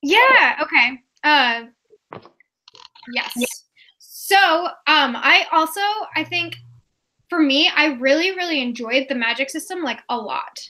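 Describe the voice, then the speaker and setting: A woman's voice: high voice, expressive, slow pace, pushed and bright. A woman co-hosting a casual book chat, speaking in an informal, rambling way. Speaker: co-host on a readalong live show.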